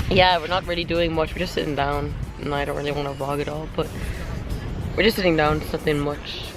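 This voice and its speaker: A hoarse young woman chatting casually in a vlog, rambling with lots of fillers, filmed outdoors on a handheld camera. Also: low voice, poor audio. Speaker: vlogger. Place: outdoors on a sunny day. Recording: background music playing under voice.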